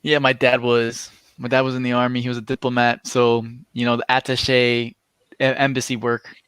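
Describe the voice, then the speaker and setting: A man's voice: mid-range voice, completely flat delivery, moderate pace, a bit bright, smooth, no room sound. Easygoing young American man on a video call. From United States. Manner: easygoing, loose, says like often. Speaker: guest on a live chat. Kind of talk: casual group chat.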